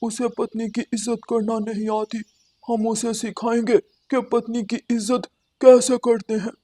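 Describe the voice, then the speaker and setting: A woman's voice: a little high, flat delivery, a little slow, mellow. A woman voicing an angry male cartoon crow who threatens and commands on his sister's behalf. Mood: angry, demanding. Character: angry crow brothers, gruff male avengers of their sister.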